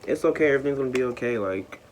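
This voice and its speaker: A man in his twenties speaking in a soft, hesitant way in an interview, with many pauses. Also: medium pitch, expressive, slight background noise, low-quality recording. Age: 20s. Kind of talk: interview testimony.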